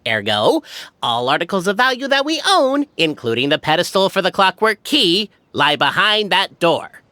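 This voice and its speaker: A man's voice: sits high, big pitch swings, normal speed, bright, forceful tone, dry recording, full character voice. A man voicing a proud, brash, argumentative clansman in a video game, boasting with pompous logic. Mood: boastful. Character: proud, brash, argumentative male clan member. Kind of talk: video game character acting.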